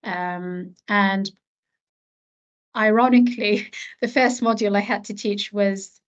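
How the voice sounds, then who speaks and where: A woman's voice: mid-range voice, steady tone, takes it slowly, dull audio. A woman from Palestine, a language-education academic, speaking earnestly as an interview guest, with frequent fillers and repeated words. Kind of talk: reflective interview conversation.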